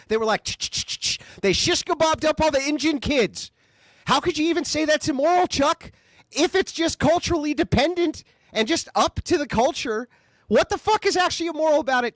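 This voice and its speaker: A man's voice: very high voice, a bit bright, dry recording. A man arguing in a heated debate, combative and sarcastic, mocking his opponent. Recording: clip from a recorded debate.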